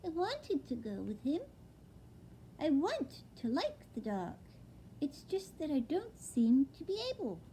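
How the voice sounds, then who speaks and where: An eager baby barn owl boy, put on by a woman reading a storybook, squeaky and high with a lively, bouncing pitch. He talks in an earnest, slightly worried way, and faint background noise sits under the voice. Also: measured pace, full character voice, poor audio.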